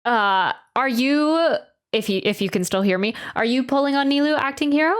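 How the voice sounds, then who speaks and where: A woman with a slightly high voice chats as a livestreamer. Her talk is informal and slangy, addressed casually to viewers as she reacts. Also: lively intonation, normal speed, smooth. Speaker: streamer.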